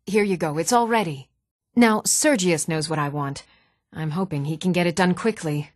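A woman's voice: fairly low pitch, normal speed, no echo, clean studio sound, natural voice. An actress playing a sturdy, earnest townswoman and warrior in a fantasy video game, with formal, old-fashioned phrasing.

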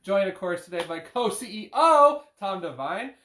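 Man, thirties, co-host, casual and upbeat, slightly high voice, distant camera mic in an echoey living room. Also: lively intonation, unhurried, pushed and bright, slight background noise. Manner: upbeat, hyped show opener. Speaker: podcast co-host. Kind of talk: casual chat. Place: living room couch, indoors. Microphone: far camera. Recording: roomy living-room echo.

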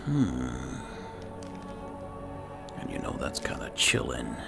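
A man doing in-character gameplay commentary, low-key and musing to himself, over game music. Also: wildly swooping pitch, slow pace, vocal fry. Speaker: gamer playing a roleplay series.

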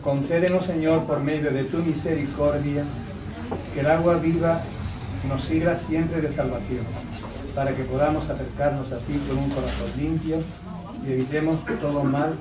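An older man with an Andalusian Spanish accent, a priest reading a blessing prayer in a steady, solemn way in a small crowded shop. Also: on the high side, moderate pace. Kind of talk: blessing prayer read aloud. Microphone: far camera. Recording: echoey shop from far off, noisy.

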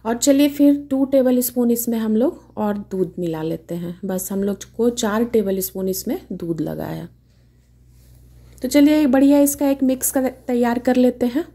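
A woman's voice: a little high, normal speed, dark tone, breathy edge. A woman hosting her own cooking channel, chatting through a recipe step by step in her home kitchen. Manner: chatty, homely step-by-step explaining.

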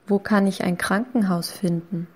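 A woman reading short phrases slowly and clearly, saying each one twice for learners. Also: mid-range voice, steady tone, darkish tone. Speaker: language lesson voice. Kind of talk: slow repeated phrase reading.

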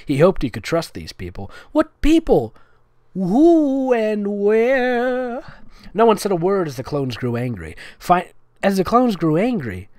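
A man giving a comedic dramatic reading, with sarcastic, nitpicking asides as the host. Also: mid-range voice. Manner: sarcastic, nitpicking, breaking into giggles. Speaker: host reading fan fiction aloud.

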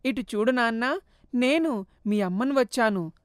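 A woman voicing a poor mother's gentle ghost, soft, tender, sorrowful, high, dry. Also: lively intonation, unhurried, no echo.

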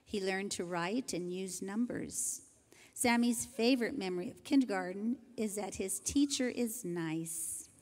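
An older woman teacher reading graduation introductions into a handheld microphone, in a gentle, measured way. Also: mid-range voice. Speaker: kindergarten teacher. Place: large church sanctuary. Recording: hall reverb from a church PA.

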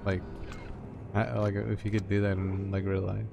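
A man with a fairly low voice chats casually while playing a video game. Game music runs clearly behind him. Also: level tone, moderate pace. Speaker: player in a let's-play. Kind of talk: casual chat while gaming. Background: video game music and rumble.

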